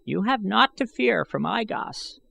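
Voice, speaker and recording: A man voicing a bent, eager old man with excitable, old-fashioned pleading speech, as an audiobook character. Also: high voice, measured pace, vocal fry, slightly rough, voice pushed up, full character voice. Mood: excited, mocking. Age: older.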